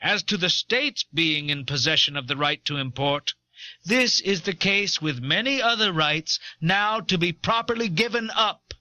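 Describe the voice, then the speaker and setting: A man playing an indignant eighteenth-century American statesman, giving a solemn, deliberate oration in a historical dramatization, on an old cassette recording. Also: sits high, lively intonation, pushed and bright, dry recording, exaggerated voice. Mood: grave indignation. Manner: grave, deliberate, oratorical. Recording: dull old cassette tape.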